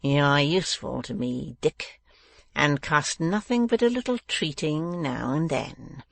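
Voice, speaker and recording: A man voicing a malicious male dwarf villain in a storybook, taunting and domineering, with slow emphatic menace. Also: a little high, lively intonation, creaky, fairly bright tone, no room sound, exaggerated voice. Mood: sly, scheming. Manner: menacing, emphatic, drawn-out delivery.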